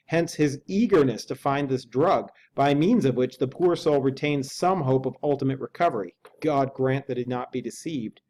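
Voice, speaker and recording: A man voicing a measured middle-aged lawyer who speaks slowly and formally. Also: mid-range voice, a little mellow, slight background noise, natural voice. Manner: slow, deliberate, formal.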